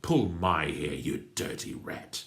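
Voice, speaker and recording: A man voicing a gruff, indignant uncle who growls defiantly, in a storybook reading. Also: rumbling low, expressive, slow pace, fairly bright tone, echoey room, exaggerated voice.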